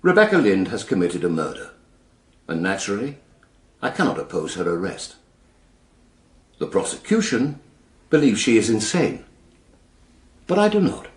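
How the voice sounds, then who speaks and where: An actor playing an earnest defence lawyer in a radio drama, giving a measured, rhetorical speech in court. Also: male voice, on the low side, huge pitch swings. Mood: impassioned. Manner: measured, rhetorical, deliberate pauses. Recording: echoey courtroom, faint music.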